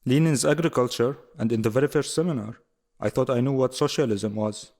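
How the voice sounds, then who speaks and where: A man's voice: mid-range voice, fairly quick, darkish tone, natural voice. An actor playing a young Syrian student, baffled and questioning as he puzzles over his studies.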